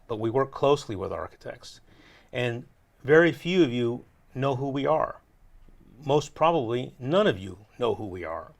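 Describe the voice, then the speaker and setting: A man's voice: mid-range voice, a bit bright. A man hosting a documentary series, addressing viewers directly in scripted narration. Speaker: host and interviewer.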